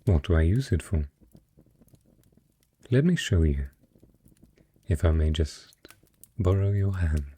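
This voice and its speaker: A man playing a wealthy, suave and possessive merchant patron in a romantic fantasy audio roleplay, speaking in a courtly, husky voice straight to the listener. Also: low voice, mellow, full character voice. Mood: softly coaxing. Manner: courtly, formal, smooth-talking flirtation.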